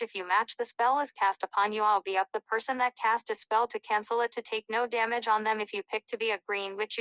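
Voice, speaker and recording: A woman's voice: on the high side, fairly bright tone, dry recording, rough recording. Woman teaching game rules, very dry sound. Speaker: presenter explaining a tabletop role-playing game's rules. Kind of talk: informal rules tutorial.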